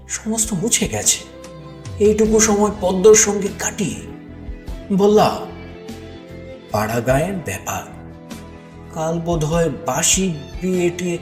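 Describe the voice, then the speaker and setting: A man playing a shy, doubt-haunted, tender bridegroom who narrates an audio story slowly and emotively, with dramatic pauses. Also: sits high, heavily acted. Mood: tender, relieved. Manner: slow, hushed, emotive delivery with dramatic pauses. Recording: echoey studio reverb under clear music.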